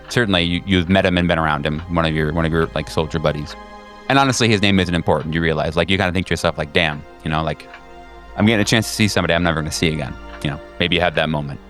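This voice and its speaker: A low-voiced man acts as game master for a horror roleplaying game. He improvises his narration casually, repeating words as he builds a scene, over faint music. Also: fairly bright tone. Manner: informal, halting, repeats words.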